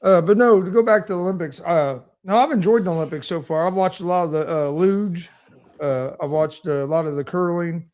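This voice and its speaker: A man on a sports chat show who rambles on, repeating and restarting his sentences. His voice is a little high for a man. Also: brisk, a little mellow. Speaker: co-host.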